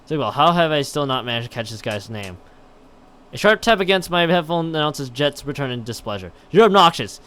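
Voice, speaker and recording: A man's voice: mid-range voice, expressive, fairly quick, fairly bright tone, clean tone. A man livestreaming a visual novel, reading it aloud with stumbles and breaking in with casual, exclaimed reactions. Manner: stumbles over read text, exclaims and interjects his own reactions. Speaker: game streamer.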